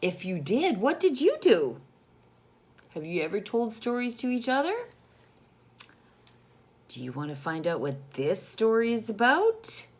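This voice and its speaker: A woman reads a storybook to young children at a leisurely pace. Her intonation swings widely, and she is expressive and teacherly, turning to the listeners with questions as she goes. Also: on the high side, unhurried, long pauses. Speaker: storyteller reading a picture book to children.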